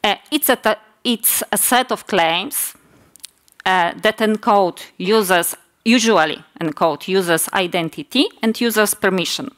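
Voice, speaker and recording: Woman, 40s, Polish accent, non-native speaker; unhurried, hesitant conference presenter with many self-corrections. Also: mid-range voice, a bit bright. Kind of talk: technical conference talk. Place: large conference hall stage.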